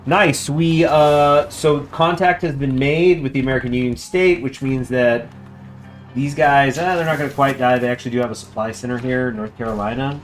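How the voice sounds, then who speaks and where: A man at a middle pitch chats his way through a strategy game, thinking aloud and reading the on-screen text aloud. He sounds relaxed and unscripted. Quiet game music plays faintly underneath. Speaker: gameplay commentator. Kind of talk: casual let's-play commentary.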